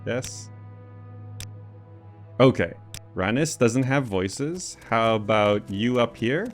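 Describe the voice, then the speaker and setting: A man's voice: medium pitch, animated, slow, faint music behind. A man hosting a gaming video with casual, hesitant commentary, thinking aloud as he plays. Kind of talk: casual game playthrough commentary.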